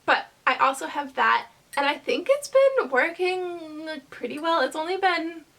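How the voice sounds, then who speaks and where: A woman vlogger chatting casually to camera, rambling hesitantly with lots of fillers and pauses. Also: sits high, lively intonation, gravelly, fairly bright tone.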